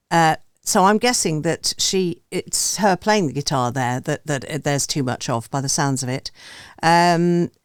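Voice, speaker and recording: A woman's voice: lowish voice, clear, resonant tone, no room sound, studio quality. A woman hosting a music review panel informally, polite and somewhat hesitant as she moves between speakers. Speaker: host of a music review show.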